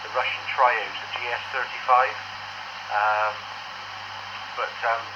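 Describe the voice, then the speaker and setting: An English man chatting casually as an amateur radio operator, rambling and self-deprecating over a two-way radio. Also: medium pitch. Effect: two-way radio transmission. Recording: noisy, muffled amateur radio transmission.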